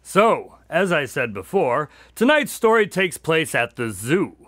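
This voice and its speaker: An exasperated, dutiful friend in a radio drama. His fairly high male voice swings widely between dry storytelling and scolding, and it is recorded close and dry. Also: sing-song, a little slow, a bit bright, no room sound. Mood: wry, confident.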